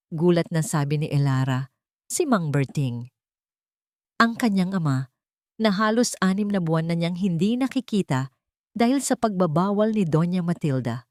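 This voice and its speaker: Rich: A woman narrating a scripted drama with a steady storytelling delivery. Her voice is on the low side and sounds close and dry. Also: dry recording.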